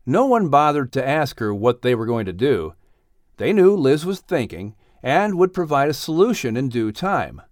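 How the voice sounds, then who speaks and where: A man announcing and narrating a children's audiobook in an animated scripted storytelling style. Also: mid-range voice, moderate pace, no echo, clean studio sound.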